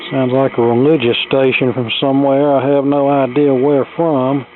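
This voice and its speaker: A man's voice: medium pitch, flat delivery, normal speed, a crowd in the background. A man giving casual, plainspoken commentary as he presents a radio repair, in a folksy way. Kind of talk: casual workbench repair narration. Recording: phone-like lo-fi sound, music behind. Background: tube AM radio playing.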